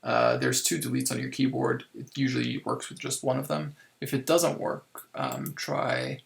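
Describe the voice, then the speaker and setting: A man giving a casual software tutorial step by step, thinking aloud informally as he works, in a creaky, gravelly voice. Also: medium pitch. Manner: informal, improvised, thinking aloud while working. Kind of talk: casual screen-recorded tutorial, explaining step by step.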